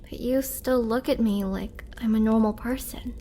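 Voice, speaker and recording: A woman playing a gentle, remorseful young vampire in an intimate roleplay audio drama, speaking softly and hesitantly with tender care. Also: a little high, steady tone, normal speed, a little hiss or hum, natural voice. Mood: humbled, remorseful. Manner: soft, hesitant, caring delivery.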